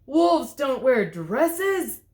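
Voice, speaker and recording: A woman's voice: high voice, sing-song, darkish tone, voice pushed up, heavily acted. A woman voicing a grumpy old big bad wolf in a children's storybook, exclaiming and complaining in a growly way. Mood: fed up. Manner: exclaiming, complaining, drawn-out delivery. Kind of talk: children's storybook read-aloud.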